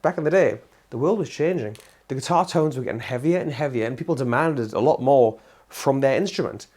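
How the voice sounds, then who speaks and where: A man's voice: medium pitch, a little fast, darkish tone. A man hosting a guitar channel who talks casually and eagerly to camera, laughing now and then, his voice worn out from losing it all week. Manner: enthusiastic, chatty, laughs often. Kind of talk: casual demo talk to camera.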